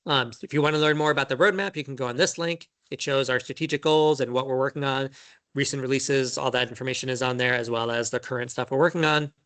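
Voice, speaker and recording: A man giving a conference talk on his software team's plans, in a loose conversational way with many fillers and restarts. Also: mid-range voice, fairly even intonation, fast talker. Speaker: software team presenter. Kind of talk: conference presentation.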